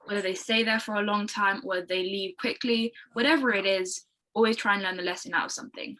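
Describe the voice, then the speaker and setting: A woman's voice: mid-range voice, fairly even intonation, fairly quick, pushed and bright, poor audio. A fifteen-year-old girl with a London British accent, chatting enthusiastically as a podcast guest. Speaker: podcast guest, young motivational speaker. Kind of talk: casual interview chat.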